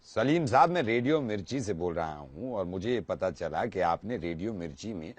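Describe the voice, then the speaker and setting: A man's voice: sits low, lively intonation, a little mellow, dry recording. A man working as a radio jockey, making a prank phone call in a courteous, formal way. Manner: courteous, formal, deliberate politeness.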